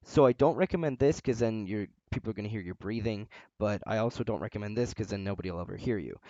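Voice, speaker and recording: A low-pitched man walks through a game tutorial in a loose, rambling style, with plenty of "uh" fillers and a loud voice. The sound is dry and close, with a slightly dull, lo-fi tone from a home desk microphone. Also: fast, no echo. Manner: relaxed, rambling, frequent "uh" fillers. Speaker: tutorial host. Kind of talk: casual screen-recorded game tutorial. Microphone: desk microphone near a loud keyboard.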